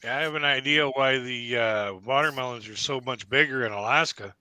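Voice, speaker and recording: A man's voice: mid-range voice, fairly quick, sharp tone, clean tone, dry recording. A man from Canada joining a livestream chat as a guest, talking with hesitant restarts. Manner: hesitant, stammering. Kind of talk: casual group chat on a livestream.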